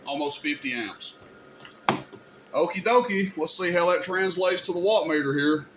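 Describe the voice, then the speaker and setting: A man's voice: fairly high pitch, normal speed. A man hosting a casual hands-on demonstration, speaking in an informal, folksy and opinionated way. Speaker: host demonstrating radio gear. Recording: clear background noise, room ambience.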